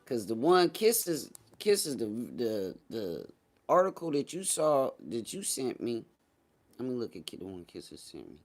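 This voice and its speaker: A man's voice: mid-range voice, huge pitch swings, measured pace. A man hosting a casual live stream, chatting with viewers in a slangy, boastful, teasing way.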